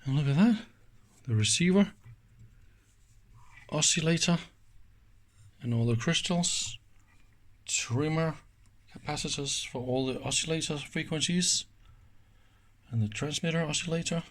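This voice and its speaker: A man giving casual, hands-on teardown commentary as a video host, informal and enthusiastic, exclaiming and thinking aloud, with a slightly husky voice. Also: a little high, unhurried, long pauses, a bit bright.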